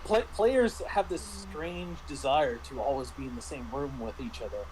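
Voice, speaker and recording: A man in a casual tabletop roleplaying discussion, speaking in a halting, explanatory way as a game master and panelist. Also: medium pitch, crowd noise, rough recording.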